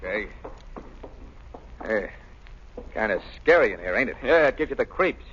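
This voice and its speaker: An actor playing a jumpy, simple police sergeant in 1930s radio style, colloquial and eager, with an American accent. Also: male voice, lowish voice, lively intonation, normal speed, a bit bright, a little hiss or hum. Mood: jumpy, uneasy. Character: excitable, jumpy male police sergeant, simple-minded subordinate. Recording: dull vintage 1930s radio recording.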